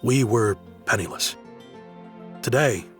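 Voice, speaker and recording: A prosperous game merchant, acted by a man, with a medium-pitched voice. He sounds shrewd and self-assured with a reflective streak, and music plays behind him. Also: slow pace, lightly acted. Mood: proud, amused. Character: shrewd, prosperous male merchant, proud but wistful. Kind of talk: video game voice acting.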